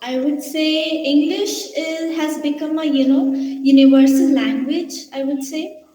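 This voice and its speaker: A woman's voice: high voice, steady tone, a little slow, dark tone. A woman from India with an Indian accent, answering interview questions cheerfully and effusively. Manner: cheerful, effusive, sprinkled with "you know". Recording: echoey room with long reverb.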